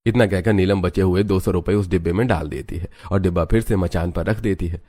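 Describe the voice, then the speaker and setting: A man's voice: low-pitched, steady tone, brisk, warm tone, a little hiss or hum. A man narrating a scripted cartoon story.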